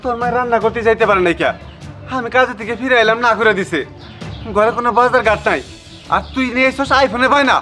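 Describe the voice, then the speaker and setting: A man's voice: very high voice, fast talker, pushed and bright, faint music behind. A man voicing an exasperated, poor labourer father in a cartoon drama, with a Bangladeshi accent and a complaining, scolding manner. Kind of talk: cartoon comedy drama dialogue.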